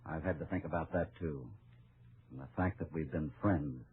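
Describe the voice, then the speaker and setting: An actor playing a calm, steely cowboy lawman in an old American radio western, speaking in a measured, firm, grave way. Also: a bass voice, a little hiss or hum. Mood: grave, regretful. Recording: muffled, narrow-band old radio recording.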